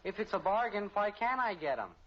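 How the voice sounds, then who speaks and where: An actor playing an earnest, pleading adolescent son in a radio family comedy. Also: male voice, high-pitched, sing-song, fairly quick. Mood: eager. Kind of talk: 1950s radio sitcom acting. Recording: dull old radio recording, faint noise.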